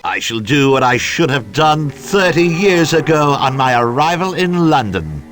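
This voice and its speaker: An actor playing a smug, traitorous cultist in a video game, mocking and declamatory. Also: male voice, fairly high pitch, sing-song, unhurried, a bit bright, faint music behind. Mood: gloating confession. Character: gloating traitorous male cultist, smug villain. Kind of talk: video game villain acting.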